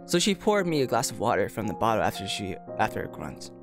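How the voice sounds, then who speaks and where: Lively man streaming a visual novel over music. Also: on the low side, fast talker. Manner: informal, reacts with amused disbelief to what is read. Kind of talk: casual playthrough commentary, reading a visual novel aloud. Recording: music playing behind the voice.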